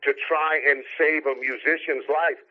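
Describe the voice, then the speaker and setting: A man's voice: a little high, steady tone, moderate pace. An American man in his sixties giving his opinions in a live radio discussion, speaking emphatically and rhetorically. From United States. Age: 60s. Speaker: radio show guest. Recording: narrow, tinny telephone line on radio.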